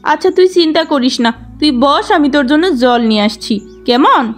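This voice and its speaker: A woman's voice: high voice, animated, normal speed, faint music behind. A woman voicing a jealous, scheming young village woman, a bossy and spiteful elder sister in a cartoon tale. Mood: bossy coaxing. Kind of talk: cartoon fairy-tale acting.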